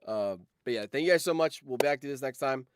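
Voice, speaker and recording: A man's voice: mid-range voice, fast talker, very noisy. A man hosting a livestream in casual chat, rambling and swearing freely as he talks. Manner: rambling, profane. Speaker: livestream host.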